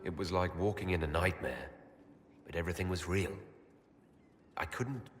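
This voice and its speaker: An actor playing an earnest, loyal leader of a fantasy game order, with a sincere and direct way of talking. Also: male voice, deep voice, moderate pace, big-room sound, a little hiss or hum, close to an everyday voice. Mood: subdued, shaken. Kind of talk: scripted fantasy game dialogue.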